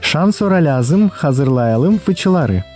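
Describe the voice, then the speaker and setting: Steady village man, very mellow, animated intonation, instructive, kids' cartoon. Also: a little high, slow. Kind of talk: children's cartoon dialogue. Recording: music behind the voice.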